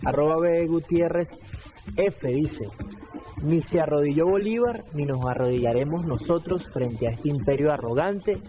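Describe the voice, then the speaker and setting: Chatty Venezuelan TV host, noisy studio. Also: male voice, fairly high pitch, moderate pace, dark tone. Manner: chatty, reading viewer tweets. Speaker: TV morning show host. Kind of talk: live TV talk.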